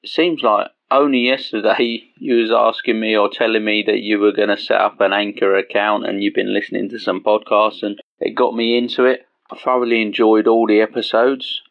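A dull, lo-fi voice message from a man with a fairly low voice. He talks casually as a podcast caller, with plenty of creak in his tone. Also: flat delivery, normal speed. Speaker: podcast call-in guest.

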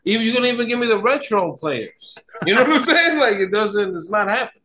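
A man with a bright, forward voice that sits a bit high. He banters casually and emphatically, slangy and repetitive, like a guest hanging out on a podcast. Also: expressive, fast talker. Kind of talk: casual banter about movies and games.

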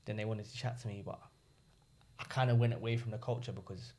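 A young man chats on a podcast as a guest. He tells his stories in an animated way, slipping into the voices of the people he quotes. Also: on the low side, fast. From England. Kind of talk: casual podcast chat.

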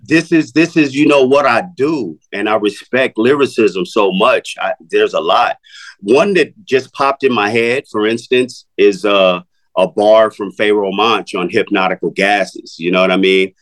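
A man's voice: lowish voice, measured pace, a bit bright. A middle-aged man, a rapper, talking casually in an interview, laid-back, swearing freely and filling his talk with "you know" and "man.".

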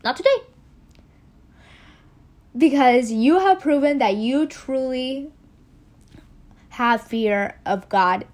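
A woman voicing a solemn, commanding angel who calls down from the heavens in firm, measured declarations. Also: sits high, animated.

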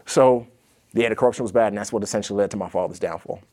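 This is a man in his forties, born in Nigeria but speaking with an American accent, with a low voice. He tells his family's story as an interview guest. He animates it by acting out the people he quotes. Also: racing, a little mellow. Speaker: guest, author.

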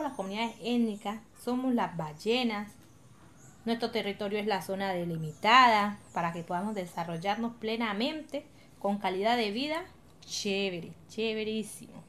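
A woman's voice: on the high side, a little hiss or hum. A woman with a Colombian accent acting an encouraging, patient village leader in an educational radio drama, explaining things colloquially. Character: encouraging, patient woman leading her coastal village community.

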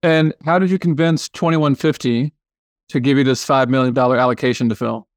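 A man's voice: mid-range voice, fairly even intonation, a little fast, dry recording, studio quality. A man hosting a podcast interview, chatty and loose, often restarting phrases and checking with tag questions. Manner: casual, hesitant, many restarts and tag questions. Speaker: podcast host. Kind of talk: casual interview chat.

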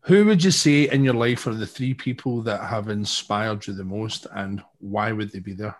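A man's voice: fairly low pitch, normal speed. Jokey Scottish man co-hosting. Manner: jokey, repeats words when starting. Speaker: interview co-host. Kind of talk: casual interview chat.

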